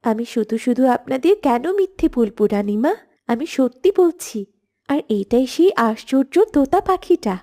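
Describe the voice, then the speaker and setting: A woman's voice: sits high, animated, normal speed, dark tone. A woman voicing an earnest, bold young minister's daughter in a cartoon, respectful but firm.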